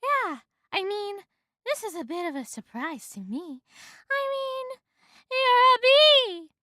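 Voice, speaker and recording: A woman voicing a startled, bewildered young cartoon woman in a halting, disbelieving way. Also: very high voice, huge pitch swings, bright, forceful tone, no room sound, studio quality, voice pushed up, heavily acted. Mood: astonished.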